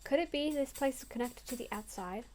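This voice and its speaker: A woman's voice: high-pitched, fairly quick, darkish tone. A young woman playing through a story game, reading the text aloud earnestly and breaking off into crude, swearing jokes.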